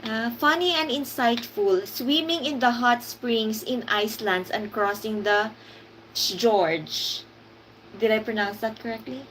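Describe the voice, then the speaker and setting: Woman stream host, higher register, slow easy delivery, greets commenters by name. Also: a bit bright, a little hiss or hum, low-quality recording. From Philippines. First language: Hiligaynon. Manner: unhurried, reads out viewer comments and greets them. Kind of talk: casual live stream chat.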